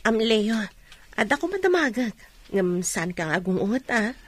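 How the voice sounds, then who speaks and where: A woman's voice: medium pitch, big pitch swings, normal speed, husky. A woman acting an inquisitive young matchmaking friend in an Ilocano radio drama.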